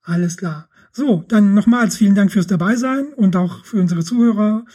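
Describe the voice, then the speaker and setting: A man's voice: high-pitched, normal speed, slightly rough. A man hosting a podcast, chatting casually about football with a guest and wrapping up the show. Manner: relaxed, thanks the guest and wraps up the show. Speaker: podcast host.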